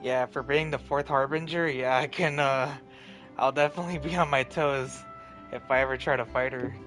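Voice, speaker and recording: A man's voice: mid-range voice, steady tone, normal speed, fairly bright tone, faint music behind. A man giving casual live commentary as he streams a video game.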